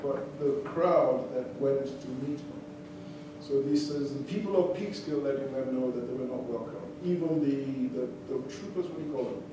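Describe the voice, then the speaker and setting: A man's voice: on the high side, noisy background. Man telling a story in an echoey hall. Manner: conversational storyteller with frequent fillers and restarts. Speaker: performer telling a life story between songs. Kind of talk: storytelling talk to a live audience. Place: concert venue. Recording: distant echoey hall recording with audience ambience.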